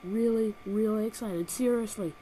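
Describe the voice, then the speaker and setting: A woman's voice: mid-range voice, normal speed, warm tone, a little hiss or hum, poor audio. A woman with a cold and a nasal voice, reviewing a video game with sarcastic, exaggerated comic rants, recorded on a built-in laptop microphone. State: has a cold.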